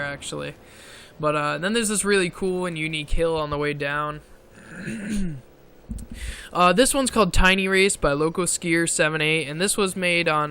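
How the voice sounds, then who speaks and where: A man giving casual commentary over gameplay footage, halting with many fillers, clearing his throat often, his voice strained from talking so long. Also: on the high side, pushed and bright, a little hiss or hum. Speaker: gaming video creator.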